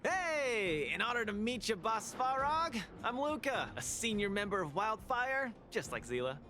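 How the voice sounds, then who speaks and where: A man's voice: high-pitched, very animated, measured pace, bright, forceful tone, full character voice. A man voicing a cocky, friendly young male street fighter who talks brashly and upbeat, often laughing. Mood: brash cheerful. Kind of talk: studio video game voice acting. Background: game music.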